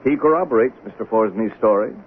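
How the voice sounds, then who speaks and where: An actor in a 1970s radio drama playing a formal, measured, persistent police sergeant. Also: male voice, on the low side, close to an everyday voice. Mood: insistent, sceptical. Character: probing, persistent male police sergeant interrogating suspects. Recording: tinny narrow-band 1970s radio recording, noisy.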